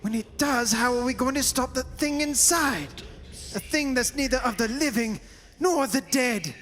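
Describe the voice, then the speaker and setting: A man's voice: very high voice, moderate pace, fairly bright tone, in a large hall. Man playing alarmed companion, theatrical old radio horror style, faint background noise. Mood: urgent, alarmed. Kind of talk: old-time radio horror drama acting.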